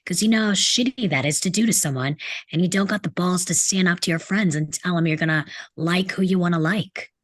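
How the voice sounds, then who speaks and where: Casting: female reader as sarcastic, angry high school tough boy, low-pitched, table-read drama. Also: brisk, dry recording, natural voice. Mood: scornful. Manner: blunt, sneering, swearing. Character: angry, sarcastic rebellious teenage boy from a rough home. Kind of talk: video-call table read of a film script.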